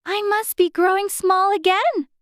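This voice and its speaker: Very high-voiced anxious little girl. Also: fairly even intonation, measured pace, a bit bright, no room sound, studio quality. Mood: forlorn, fretful. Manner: fretful, talking to herself in prim childish exclamations. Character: anxious, chatty little girl in a fantasy storybook. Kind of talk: dramatized audiobook character acting.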